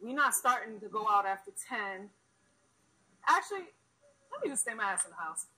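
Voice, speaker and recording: A woman's voice: mid-range voice, big pitch swings, moderate pace, sharp tone, breathy edge, low-quality recording. A woman in her thirties delivering a blunt, sassy, emphatic comedic monologue to camera. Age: 30s.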